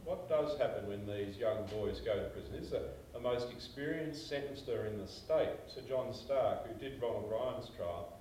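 A man's voice: medium pitch, moderate pace, hardly any pauses, dark tone, a little hiss or hum. Older australian man on a panel, emphatic storyteller, heard from far off in an echoey room. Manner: emphatic storyteller, gestures while talking. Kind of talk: animated panel discussion. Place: small meeting room.

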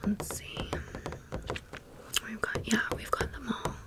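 Slow, whispering woman doing ASMR. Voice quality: breathy whisper. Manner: casual, gushing, sassy slang. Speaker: ASMR video creator. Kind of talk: ASMR doll haul, rambling commentary.

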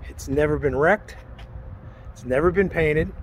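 A man's voice: on the high side, unhurried. A man presenting a vehicle for sale in a walkaround video, matter-of-fact, speaking in short, list-like phrases. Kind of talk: walkaround sales video commentary. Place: outdoors in an open parking lot. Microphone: handheld camera or phone, speaker off camera. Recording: outdoor noise, handheld camera audio.

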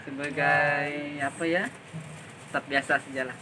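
Higher-voiced young man in noisy shop. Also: steady tone, moderate pace. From Sabah, Malaysia. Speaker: shop supervisor being interviewed. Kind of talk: casual chat. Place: inside a retail shop. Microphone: phone held by someone else. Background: clear background noise.

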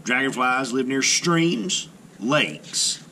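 A man reading a children's picture book aloud as a teacher, slow and careful, with rising emphasis, recorded indoors at a table by an overhead camera. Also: mid-range voice, expressive, bright, forceful tone, a little hiss or hum.

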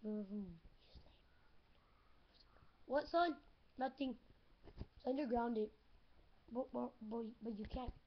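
The speaker is a high-pitched woman who talks slowly and playfully as she makes up lines for toy figures. She stumbles and restarts, filling gaps with ums and giggles. The recording is muffled and lo-fi, made in a small dim room at home. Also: slight background noise. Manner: giggly, halting, full of fillers and restarts. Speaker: home-video maker voicing toy figures. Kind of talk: playful improvised toy-movie chatter.

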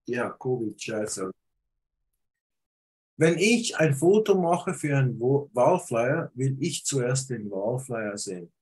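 A man's voice: mid-range voice, expressive, takes it slowly, a little mellow, slightly rough, poor audio. Blunt man with a cold on a video call. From Switzerland. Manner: blunt, critiquing, hesitant with many fillers. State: has a cold. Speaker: photographer giving feedback. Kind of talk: casual video call discussion.

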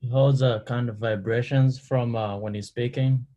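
A man's voice: medium pitch, steady tone, moderate pace, dark tone, dull audio. A man answering as a student on a video call, searching for words. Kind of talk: answering in class.

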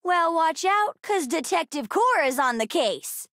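A woman voicing an eager, precocious young girl in a video game, earnest and expressive. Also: very high-pitched, a little slow, no room sound, clean studio sound, full character voice. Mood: playful, bookish. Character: bright, eager, bookish young girl aboard a spaceship. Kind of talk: video game character voice lines.

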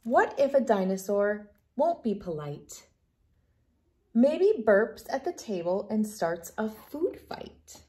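A woman in her thirties reading a picture book aloud in an expressive storytelling manner for young children. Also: medium pitch, slow. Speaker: children's book reader and host. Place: living room at home, indoors.

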